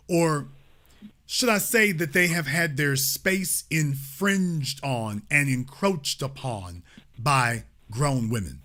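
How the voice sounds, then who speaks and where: Male call-in host, bright and forceful, lectures with heavy emphasis. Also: a little high. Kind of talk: talk radio commentary.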